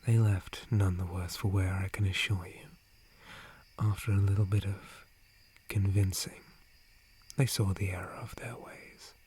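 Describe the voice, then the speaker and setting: A man voicing a gentle, polite dryad guardian in a breathy, formal whisper for an ASMR roleplay. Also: low-pitched, fairly even intonation, a little slow, a little hiss or hum. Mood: mild, curious. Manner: formal, courteous, hesitant, softly hushed. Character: gentle, polite male dryad, forest guardian tree spirit.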